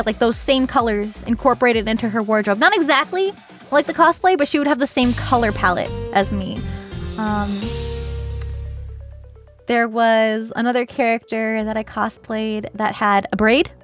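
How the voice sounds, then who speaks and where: A young woman streaming casually while she crafts, chatty and giggly, with lots of "like" and "um.". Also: a little high. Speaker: streamer, cosplayer.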